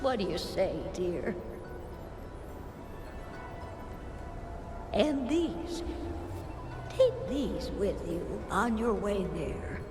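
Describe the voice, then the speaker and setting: A woman's voice: medium pitch, big pitch swings, slow pace. A woman voicing a kindly, self-sacrificing motherly villager in a video game, tender and coaxing. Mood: encouraging. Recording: echoey reverberant game audio under music.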